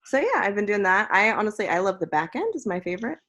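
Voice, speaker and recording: A woman in her thirties chats casually over a laptop mic, dropping small laughs between her phrases. Her voice sits at a middle pitch. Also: very animated, fast, a bit bright, slight background noise. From raised near Portland, Oregon. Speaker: coding program participant. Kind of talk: casual video call introduction. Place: indoor room.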